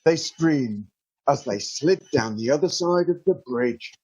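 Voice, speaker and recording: A man narrating a children's storybook in an expressive, drawn-out storytelling delivery. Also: mid-range voice, very noisy. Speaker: author reading a children's story.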